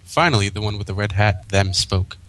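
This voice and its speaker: Lowish-voiced man narrating a story. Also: brisk, a bit bright, poor audio. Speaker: fan fiction reader.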